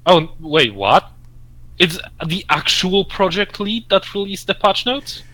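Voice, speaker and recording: Bright-voiced man with a Russian accent. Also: high-pitched, lively intonation, no room sound. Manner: halting, repeats words, swears casually. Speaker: podcast co-host. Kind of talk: casual gaming podcast chat.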